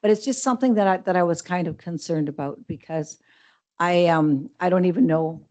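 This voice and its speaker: A woman's voice: lowish voice, a little fast, a little mellow. An older woman speaking from the public gallery at a council meeting, with a big voice, talking in a rambling, hesitant way full of restarts. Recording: dull lo-fi council chamber mic.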